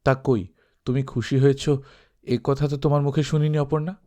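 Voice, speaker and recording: A man's voice: medium pitch, flat delivery, moderate pace, warm tone, natural voice. A man voicing an earnest young husband in love in storybook dialogue, pressing and mock-offended. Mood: eager, pressing.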